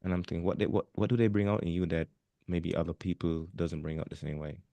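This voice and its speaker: A man from Jamaica who hosts a podcast. His voice is very low and gravelly, and he talks quickly in a casual interview style, often murmuring agreement while his guest speaks. The recording sounds dry and close, with almost no room echo. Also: steady tone. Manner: warm listener, frequent murmured agreement. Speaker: podcast host.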